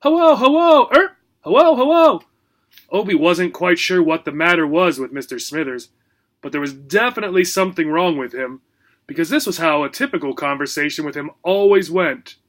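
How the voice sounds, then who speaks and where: A man's voice: on the high side, brisk, a bit bright. A man narrating a children's book as a teacher, expressively and with theatrical emphasis.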